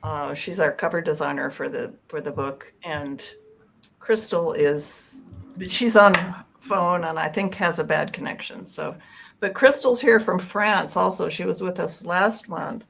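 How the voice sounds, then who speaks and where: A woman with a fairly low voice and plenty of fry hosts an online group call. She is informal and folksy, meandering as she thinks aloud. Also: a little slow, a bit bright, slight background noise, low-quality recording. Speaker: host of online book discussion. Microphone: video call.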